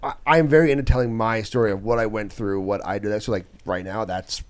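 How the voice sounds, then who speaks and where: A man's voice: on the low side, fairly even intonation, fairly quick. A man in casual podcast conversation, speaking bluntly and informally as a comedian. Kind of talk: casual chat.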